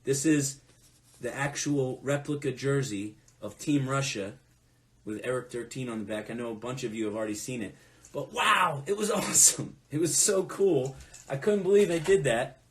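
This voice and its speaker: A man's voice: medium pitch, expressive, moderate pace. A man chatting casually from home during a live fan Q&A, telling rambling anecdotes. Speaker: musician hosting a fan Q&A. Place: at home, next to a closet.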